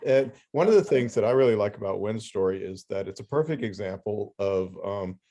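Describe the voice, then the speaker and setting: A man hosting a podcast in casual conversation, explaining his thoughts in a halting, thoughtful way. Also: fairly low pitch, expressive, dark tone. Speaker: podcast host. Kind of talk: casual interview chat.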